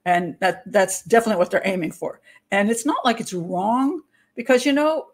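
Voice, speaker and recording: An older woman, a criminal profiler, hosting a casual livestream from a small room at home, talking into a webcam. She rambles, interrupts herself and laughs easily, and her voice is a little hoarse. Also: mid-range voice. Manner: chatty, rambling, self-interrupting, quick to laugh. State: hoarse, clearing throat.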